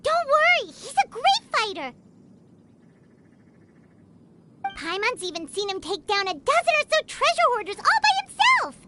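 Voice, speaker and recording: A woman voicing a tiny, chatty fairy girl companion in a video game, with a squeaky, childlike voice. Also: sing-song, fairly quick, heavy background noise. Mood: reassuring. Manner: speaks of herself in third person. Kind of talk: animated video game voice acting.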